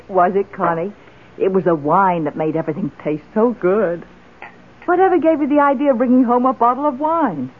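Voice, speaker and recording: Actress as chatty, exclamatory older woman for vintage radio comedy-drama scene, rough-edged voice, thin broadcast sound. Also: mid-range voice, moderate pace, narrow, tinny audio, lightly acted. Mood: merry, tipsy. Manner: exclamatory, gushing. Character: merry, chatty older lady enjoying her wine. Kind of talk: 1970s radio drama acting.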